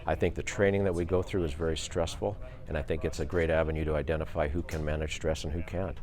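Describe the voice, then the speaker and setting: A man's voice: very deep voice, level tone, fast, a little mellow. A middle-aged man, an air traffic controller talking casually in an interview.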